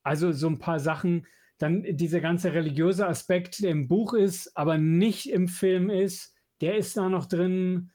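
Higher-voiced man chatting as podcast co-host. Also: steady tone, a little slow, warm tone. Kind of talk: casual chat about audio dramas and films.

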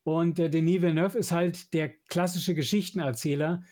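Higher-voiced man chatting as podcast co-host. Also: fairly even intonation, normal speed, a little mellow. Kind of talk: casual chat about audio dramas and films.

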